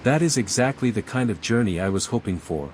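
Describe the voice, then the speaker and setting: An actor playing a composed, resolute envoy hero in a video game, speaking in measured, direct phrases. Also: male voice, lowish voice, animated, noisy background, natural voice. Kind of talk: video game dialogue acting. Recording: echoey hall reverb over music.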